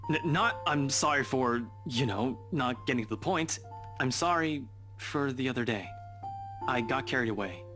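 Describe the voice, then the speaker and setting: An actor playing a proud but awkward young man in a visual novel game, gruff and sheepish. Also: mid-range voice, expressive, normal speed, over music, lightly acted. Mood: flustered, apologetic.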